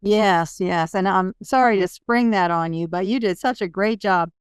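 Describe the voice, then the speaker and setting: A woman's voice: mid-range voice, moderate pace, smooth, no echo, studio quality. A woman speaking conversationally as the host of an interview podcast.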